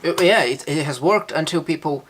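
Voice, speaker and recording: A man's voice: fairly high pitch, normal speed, a bit bright, a little hiss or hum. A man gives casual vlog commentary, reacting to a clip in an exasperated, sarcastic, incredulous way. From Brazil. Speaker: reaction vlogger.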